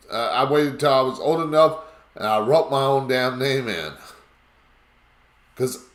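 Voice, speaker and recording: A man with a raspy voice hosting a live call-in talk show, talking in a rambling, emphatic way. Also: mid-range voice, a bit bright, reverberant.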